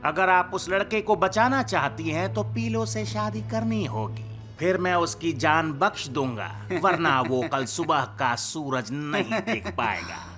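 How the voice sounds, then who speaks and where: A slow, scheming, swooping court villain. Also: male voice, a little high, big pitch swings, fairly bright tone, faint music behind. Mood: sly, menacing. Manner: smug, insinuating, theatrical. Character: scheming, coercive male court villain threatening a princess. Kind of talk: cartoon dub acting.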